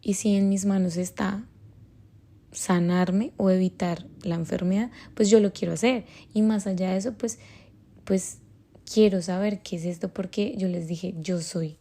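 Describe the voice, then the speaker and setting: A woman's voice: medium pitch, steady tone, measured pace, mellow. A young Colombian woman with a Colombian accent, hosting a podcast and telling a personal story in a rambling, casual way with many fillers. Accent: Colombian Spanish. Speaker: podcast host.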